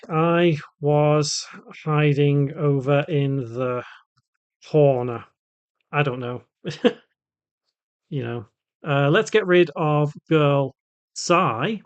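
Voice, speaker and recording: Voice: mid-range voice, smooth. A tutorial host talking casually and conversationally, thinking aloud through an unscripted coding walkthrough.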